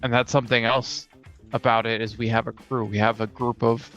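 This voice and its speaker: Man, creaky voice, medium pitch, casual co-host, slightly dull recording, gaming chat. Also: flat delivery, brisk, a bit bright, soft background music. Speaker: podcast co-host and tabletop player. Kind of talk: casual chat about tabletop role-playing.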